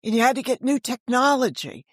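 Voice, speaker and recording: An American woman in her sixties telling stories conversationally as an interview guest, animated and emphatic, jumping between thoughts. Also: a little high, a little fast, no room sound, studio quality. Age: 60s. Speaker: guest, author and audio drama creator.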